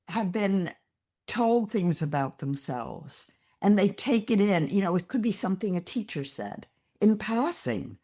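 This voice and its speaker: An older woman speaks as a psychologist guest on a podcast at a slow, unhurried pace. She is earnest and reflective, and she gently makes her points as she talks. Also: mid-range voice. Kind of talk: interview conversation.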